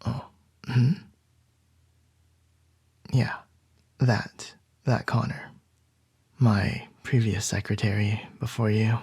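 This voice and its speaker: A man acting as a composed male CEO boss in an ASMR boyfriend roleplay, murmuring softly with a breathy, whispery voice and a gently wry manner. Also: fairly low pitch, steady tone, slow pace, pauses often, no room sound, heavily acted. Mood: wry, fond.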